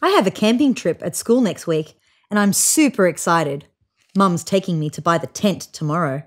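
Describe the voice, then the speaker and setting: A woman in her thirties reading a children's picture book aloud in an expressive, child-directed way. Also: fairly low pitch, normal speed. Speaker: storybook reader. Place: small room indoors, seated on a couch.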